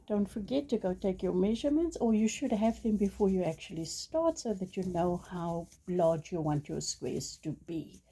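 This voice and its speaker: A woman's voice: mid-range voice, moderate pace, hardly any pauses, mellow, slightly rough. A woman whose first language is Afrikaans, teaching crochet in a casual, chatty way with many ums and apologetic restarts. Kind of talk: casual tutorial talk.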